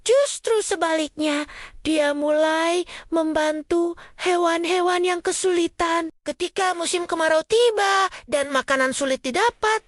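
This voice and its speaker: A woman's voice: extremely high pitch, unhurried, no room sound, studio quality. A woman storyteller reading a scripted children's fable.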